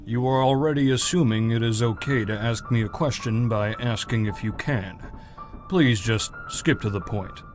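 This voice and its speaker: A man's voice: mid-range voice, moderate pace, over music, lightly acted. A man playing a stern, curt government agency boss in a visual novel game, pedantic, formal and impatient.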